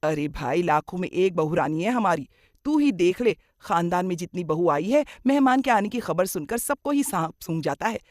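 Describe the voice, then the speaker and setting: A woman's voice: fairly low pitch, expressive, normal speed, no echo, studio quality, natural voice. A woman playing a middle-aged mother-in-law, kindly but grumbling and dramatic, in a moral cartoon story. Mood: proud, teasing.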